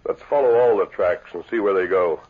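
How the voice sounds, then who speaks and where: An actor playing a steady, decisive masked lawman hero with formal speech in a 1950s radio western. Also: low-pitched, a little fast, natural voice. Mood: resolute. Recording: tinny, noisy old radio broadcast.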